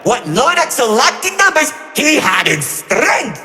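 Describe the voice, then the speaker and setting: A man's voice: extremely high pitch, wildly swooping pitch, unhurried, pushed and bright, full character voice. A man voicing a gleefully cruel trickster villain in a game, telling tales of war in a mocking, flippant, melodramatic way. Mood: dramatic storytelling. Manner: mocking, flippant, melodramatic, swinging between grandeur and glee. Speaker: narrator villain. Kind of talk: theatrical storytelling narration. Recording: echoey hall reverb over music. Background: music.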